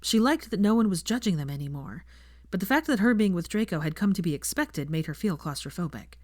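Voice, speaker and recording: A woman's voice: lowish voice, lively intonation, fairly quick, a little mellow, no echo, clean studio sound. A woman narrating scripted fiction as an audiobook reader.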